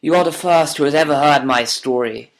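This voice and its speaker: A man's voice: fairly high pitch, fairly quick, lightly acted. A man voicing an anguished, educated prisoner in an audiobook, proud and ashamed, impassioned and formal in manner. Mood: passionate, confessing. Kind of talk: audiobook character voice.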